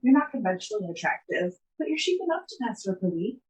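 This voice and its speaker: A woman acts a haughty, controlling sorority leader in a dramatic reading. Her husky voice is quick and cutting, bluntly swooping in pitch. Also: big pitch swings, rough recording. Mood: condescending.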